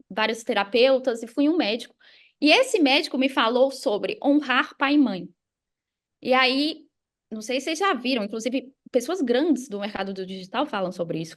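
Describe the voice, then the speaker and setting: High-voiced Brazilian woman telling her story. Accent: Brazilian Portuguese. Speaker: podcast guest. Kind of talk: casual personal storytelling.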